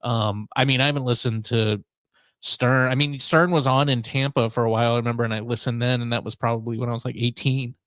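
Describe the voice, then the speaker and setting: A middle-aged man with an American accent talks casually as a podcast host. His pitch sits in an ordinary male range, and the recording is close and dry, with no room sound. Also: steady tone, fast talker, a bit bright, no room sound. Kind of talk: casual chat.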